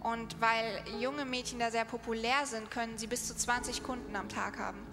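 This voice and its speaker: A woman interpreting live on stage, speaking in quick restarting bursts. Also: a little high, little pitch movement. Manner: halting, restarts mid-sentence. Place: large hall. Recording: echoey hall with music behind.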